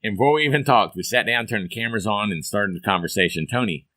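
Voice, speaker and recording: A hoarse man hosts a folksy, upbeat podcast chat. His voice sits on the low side yet comes across bright and forceful. The sound is dry and close, with no room around it. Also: expressive, fast talker, no echo. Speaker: podcast host. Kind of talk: casual chat.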